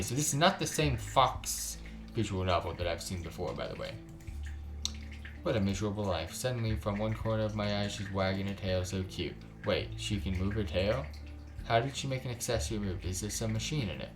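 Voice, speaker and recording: A man's voice: fairly low pitch, fairly quick. Man reading a visual novel, music behind. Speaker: gaming video host. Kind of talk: reading a visual novel aloud with casual reactions.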